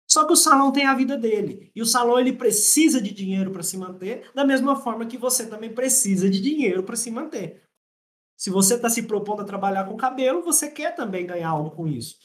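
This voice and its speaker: A man from Minas Gerais with a mild Mineiro accent, a podcast guest telling stories and explaining salon finances, repeating his points for emphasis. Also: sits high. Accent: mild Mineiro Brazilian Portuguese. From Minas Gerais, Brazil. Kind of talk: casual podcast conversation, explaining.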